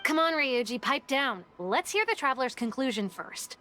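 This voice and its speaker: A woman's voice: sits high, lively intonation, normal speed, sharp tone, faint music behind. A woman voicing a bossy, hot-tempered young female detective agency boss in a video game, scolding and accusatory. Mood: impatient.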